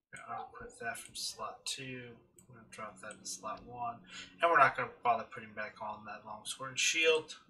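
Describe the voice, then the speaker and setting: Guy streaming a game, very bright punchy voice, casual commentary, faint noise behind. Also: medium pitch. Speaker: gaming streamer.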